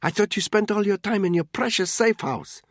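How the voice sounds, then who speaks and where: A man's voice: high voice, moderate pace, dry recording, studio quality, voice pushed up. A man voicing a tense, defiant mother. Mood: tense defiance. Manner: tense, hissing, urgent. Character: frightened, defiant woman, a boy's mother.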